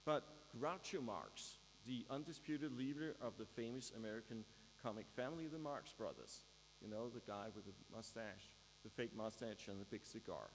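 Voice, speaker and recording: A man's voice: mid-range voice, animated. Danish-accented man giving a hall speech. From Denmark. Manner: measured, with dry humor. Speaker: graduation speaker. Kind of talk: prepared ceremonial speech. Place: large ceremony hall. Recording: reverberant hall with faint mains hum.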